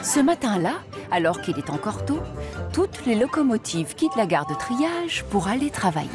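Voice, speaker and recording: A woman narrating a children's cartoon story in a lively, expressive way. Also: mid-range voice, normal speed. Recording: cartoon soundtrack with music.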